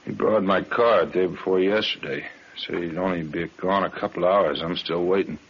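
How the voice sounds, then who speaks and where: A man playing a disgruntled small-time crook in an old radio police drama, husky and grudgingly casual. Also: low voice, fairly even intonation, normal speed. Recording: dull old radio recording.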